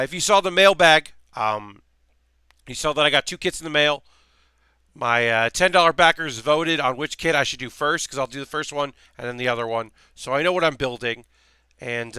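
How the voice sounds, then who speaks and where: A man hosting a relaxed live stream while building a model kit, rambling casually with lots of fillers, his voice slightly worn. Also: mid-range voice, brisk, smooth, no echo. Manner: rambling, chatty, many fillers and restarts.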